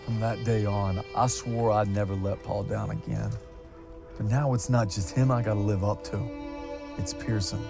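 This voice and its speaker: An actor playing an earnest young American soldier, narrating and reflecting in a video game cinematic. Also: male voice, lowish voice, fairly even intonation, normal speed, creaky, music in the background. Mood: reflective.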